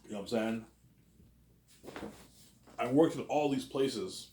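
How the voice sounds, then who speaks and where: A man's voice: medium pitch, takes it slowly, dark tone, a little hiss or hum. A man in his thirties talking in a rambling personal monologue, repeating himself and tagging on filler words. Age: 30s. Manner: repetitive, keeps adding "obviously" and "man". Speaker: vlogger. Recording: roomy echo.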